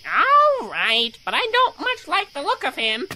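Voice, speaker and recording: A tiny, loyal talking dog, squeaks and grumbles in very high, slow, animated tones. The delivery is excitable radio adventure acting with a bright edge. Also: female voice, heavily acted. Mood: wary.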